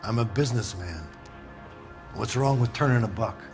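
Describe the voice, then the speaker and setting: A man's voice: medium pitch, moderate pace, darkish tone, faint music behind, close to an everyday voice. A man playing an older factory owner, hesitant, evasive and defensive, in a video game drama. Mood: defensive, indignant.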